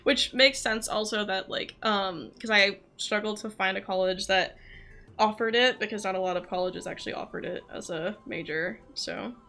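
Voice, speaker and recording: A young American woman chats casually on a game stream. Her voice is bright and forward at a medium pitch, and she rambles loosely from one thought to the next. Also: moderate pace. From southeastern United States. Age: young adult. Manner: chatty, rambling, loosely jumping between thoughts. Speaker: game livestreamer.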